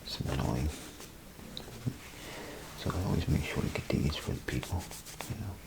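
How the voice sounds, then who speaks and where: A man doing a soft-spoken ASMR role play as a bathroom attendant, rambling casually and unhurriedly to the listener in a breathy, gravelly half-whisper. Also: extremely low pitch, expressive, heavy background noise. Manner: unhurried, casual, folksy muttering with pauses.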